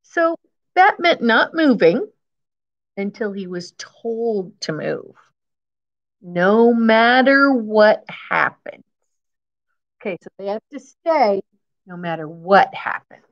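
A woman's voice: medium pitch, big pitch swings, takes it slowly, pauses often, lo-fi sound. A woman in her fifties reads a picture book aloud to young children, adding simple explanations and repeating key phrases as a teacher does. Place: indoors, school classroom.

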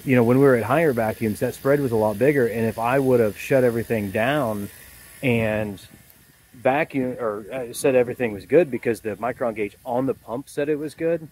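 A man's voice: mid-range voice, moderate pace, darkish tone, breathy edge, poor audio. A man in his forties talking casually and explaining things to the camera like a working technician. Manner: conversational, explanatory. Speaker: HVAC technician. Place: outdoors in a yard beside an air conditioning unit. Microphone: phone at arm's length. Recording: outdoor phone recording with background noise.

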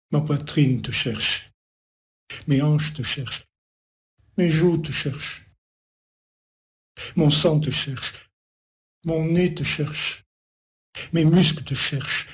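A man reciting poetry as an incantatory litany, in short repeated phrases set apart by pauses. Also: fairly high pitch. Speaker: poet.

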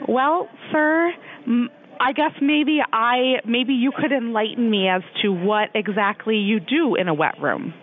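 A woman's voice: fairly high pitch, unhurried. Woman, hotel manager, polite, patient, puzzled, phone-line sound. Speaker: hotel operations director. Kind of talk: phone call.

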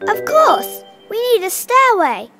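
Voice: very high-pitched, huge pitch swings, takes it slowly, over music, heavily acted. An actor voicing a timid, sweet little jelly princess in a preschool cartoon, with a girlish, dainty, worried manner. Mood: polite.

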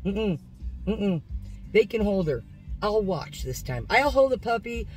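A woman's voice: mid-range voice, sing-song, unhurried, soft background music, low-quality recording. A middle-aged woman with an American accent chatting informally and folksily to viewers as she vlogs from inside a car. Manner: informal, folksy, self-narrating. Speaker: vlogger. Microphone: phone or camera on the dashboard.

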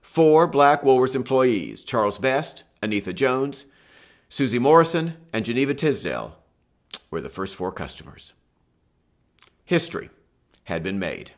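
An older man presenting a history lesson in scripted narration from a room at home, in a measured, expressive storytelling manner. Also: medium pitch. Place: home room with bookshelf and lamp.

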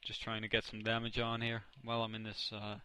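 A young man from the Netherlands with a Dutch accent, casually commentating his own video game match, excitable and often correcting himself. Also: on the low side, a little fast, clean tone, no room sound. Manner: excitable, self-correcting, reacts loudly to plays. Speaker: gaming commentator. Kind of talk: casual let's play commentary.

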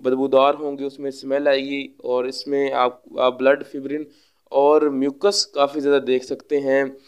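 A man giving an explanatory veterinary lecture, speaking instructively with frequent hesitant pauses. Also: mid-range voice, level tone, clear, resonant tone, lo-fi sound.

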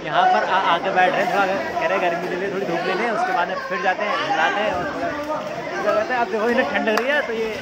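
A young man talks quickly in a high voice into a phone held at arm's length. His chatter is excited and repetitive. Loud crowd noise and splashing fill the outdoor pool around him. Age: young adult. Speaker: vlogger. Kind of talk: casual vlog narration. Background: crowd noise and splashing water.

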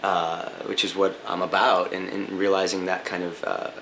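A man's voice: deep voice, level tone, normal speed, a bit bright, slight background noise, poor audio. A young man, a choreographer, talking casually in a documentary interview indoors. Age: young adult.

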